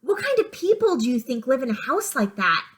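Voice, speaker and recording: A woman voicing a friendly, eager young schoolboy in an expressive storybook read-aloud. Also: sits high, fairly quick. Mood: excited.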